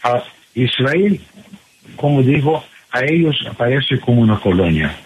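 Slow, hesitant man. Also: mid-range voice, big pitch swings, breathy edge, highs cut off. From Michigan, USA. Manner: hesitant, many fillers and restarts, searching for words. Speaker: ambassador, interview guest. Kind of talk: halting interview answers in Spanish.

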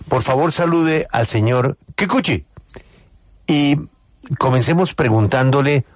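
A man's voice: medium pitch, dry recording, studio quality. A man hosting a live radio interview, speaking emphatically and repeating key phrases.

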